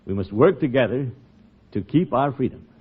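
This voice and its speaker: An American actor playing a pompous, folksy middle-aged small-town uncle in a radio comedy, with self-important, oratorical delivery. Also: male voice, medium pitch, animated, moderate pace, husky. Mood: earnest, oratorical. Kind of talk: 1950s radio comedy acting. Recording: tinny old radio broadcast, muffled.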